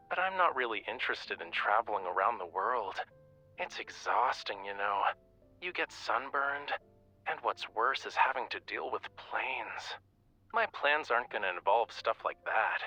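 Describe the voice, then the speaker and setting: A man's voice: lowish voice, expressive, measured pace, bright, forceful tone, faint music behind, exaggerated voice, rough recording. An actor voicing a playful, self-assured young male artist in a romance video game, with a casual, lazily teasing manner. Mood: weary, dismissive.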